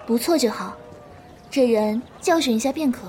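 An actress playing a gentle, patient and doting young swordswoman in a Chinese martial-arts audio drama, speaking softly and with composure. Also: on the high side, moderate pace, soft background music, natural voice. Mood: calm, firm.

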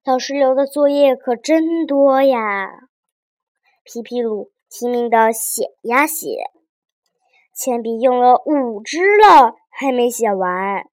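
A woman's voice: fairly high pitch, slow pace, dry recording, studio quality. A woman narrating a children's fairy tale expressively, engaging young listeners as she goes. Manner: animated, addresses young listeners with questions. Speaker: children's storyteller.

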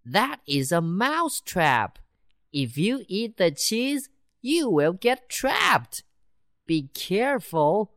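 A woman's voice: sing-song, no room sound, studio quality, heavily acted. Boastful city mouse, as a woman reads it. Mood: eager. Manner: slow, bouncy storybook voice with big pitch swings. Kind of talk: children's storybook reading.

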